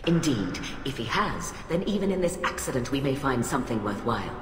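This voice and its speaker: An actress playing a cold, haughty alien admiral in a video game, speaking in a clipped, formal, condescending way. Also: female voice, extremely low pitch, big pitch swings, fairly quick. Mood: haughty conviction. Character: cold, haughty alien admiral, female, middle-aged scientist, ruthlessly rational. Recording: echoey hall reverb, music behind.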